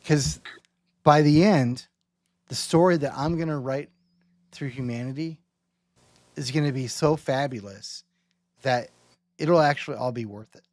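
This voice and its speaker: A man with a mid-pitched voice hosts a casual discussion of faith. He works through his thoughts in halting, looping phrases, often tagging on little check-in questions. The sound is dry, with very little room in it. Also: a little mellow, no room sound. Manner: halting, rambling phrasing with rhetorical check-ins. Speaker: host.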